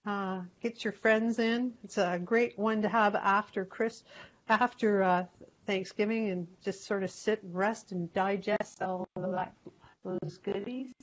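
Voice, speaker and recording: A woman host rambles through her opening with many fillers. Her voice sits in an ordinary middle pitch. The audio is dull and lo-fi, like a video call. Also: steady tone, unhurried. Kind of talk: show opening chat.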